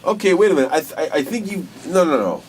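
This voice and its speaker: A drunk man chats casually as a co-host in a slightly high, very lively voice that swings widely in pitch. His talk is emphatic, slangy and full of swearing. Faint ambient noise sits behind him. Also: fast. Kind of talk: casual chat. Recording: noticeable background noise.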